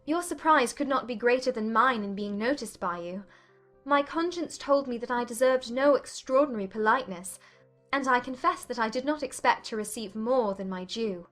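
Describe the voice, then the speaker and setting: A woman playing a witty, candid young gentlewoman in a dramatized classic romance audiobook, polite and gently probing in formal period English. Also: fairly high pitch, moderate pace, soft background music, close to an everyday voice. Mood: modest, curious.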